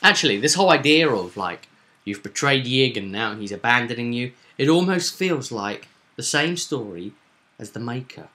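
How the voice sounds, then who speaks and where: Male game master for a recap vidcast; forceful, bright tone, theatrical pauses, conversational. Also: mid-range voice, lively intonation. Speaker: host and game master recapping a tabletop session. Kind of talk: casual recap discussion of a tabletop roleplaying game.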